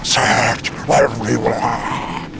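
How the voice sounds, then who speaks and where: A gravelly prison guard. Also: male voice, medium pitch, monotone, drawn-out words, very noisy, exaggerated voice. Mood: searching. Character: gruff male prison guard, menacing. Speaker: game guard.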